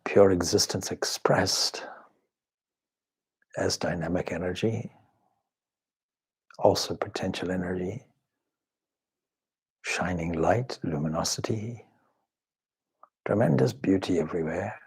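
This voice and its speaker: Male meditation leader, low-pitched and heavily breathy-husky, measured and reverent, pausing often. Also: rough recording. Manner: measured, reverent, pausing between short phrases. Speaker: swami leading a guided meditation. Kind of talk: slow spoken guided meditation.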